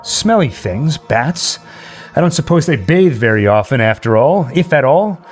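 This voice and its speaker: A man playing a nervous, rambling man alone in a haunted house in a horror radio play, halting and talking straight to his listeners. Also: medium pitch, big pitch swings, soft background music. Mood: agitated. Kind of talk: old-time horror radio play monologue.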